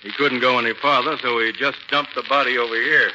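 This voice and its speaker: Actor as plain-spoken police inspector, noisy old radio. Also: male voice, mid-range voice, fairly even intonation, brisk, lo-fi sound, close to an everyday voice. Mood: businesslike. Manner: plain-spoken, businesslike, affable. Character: matter-of-fact male police inspector, affable, observant. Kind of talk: 1940s radio drama acting.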